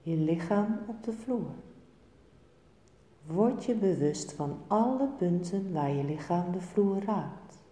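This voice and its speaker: Slow, mellow woman guiding meditation in reverb. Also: fairly low pitch. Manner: calm, soothing, measured with short phrases and pauses. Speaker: yoga nidra meditation teacher. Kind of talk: guided relaxation and meditation instruction. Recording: echoey room with long reverb.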